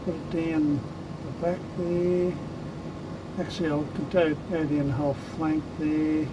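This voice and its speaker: Croaky, slow-talking man. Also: a little high, little pitch movement, very noisy. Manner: unhurried, thinking aloud while working, with many ums. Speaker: lure painter demonstrating. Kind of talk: casual hands-on tutorial commentary.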